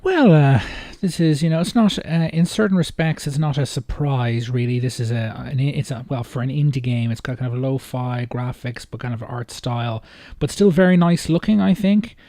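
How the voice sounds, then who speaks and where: A man giving casual, off-the-cuff video commentary in a hesitant, rambling way with halting phrasing. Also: medium pitch, warm tone, no room sound, studio quality. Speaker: video host reacting to game trailers.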